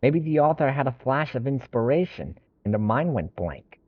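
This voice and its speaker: A man's voice: medium pitch, very animated, normal speed, highs cut off, a little hiss or hum. A man streaming a game, casually reading lines aloud and commenting live.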